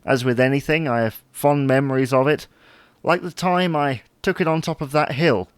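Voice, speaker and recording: A man's voice: mid-range voice, steady tone, normal speed, clean tone, no echo, lightly acted. A man playing a chatty, fussy, wryly puzzled husband in an audio sitcom. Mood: reminiscing. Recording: noticeable background noise.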